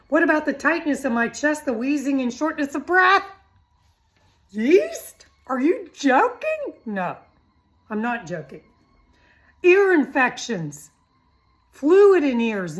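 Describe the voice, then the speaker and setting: A middle-aged woman giving an informal, chatty health talk, blunt and teasing, firing rhetorical questions at the listener. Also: a little high. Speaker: health vlogger.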